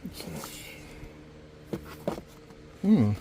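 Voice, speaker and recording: A middle-aged man with a slightly raspy voice, casually talking to himself as he browses a thrift store and films it. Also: very slow, noisy background. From Las Vegas area. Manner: relaxed, muttering to himself while browsing. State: slightly raspy from dust and a cough. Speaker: thrift vlogger.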